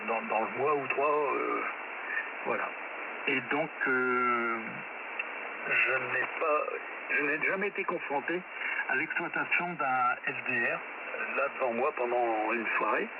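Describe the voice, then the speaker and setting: A man's voice: mid-range voice, measured pace. A middle-aged or older man chatting casually over amateur radio, rambling and often correcting himself, heard through a shortwave transmission. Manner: hesitant, digressive, self-correcting. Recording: very noisy, narrow-band shortwave radio link.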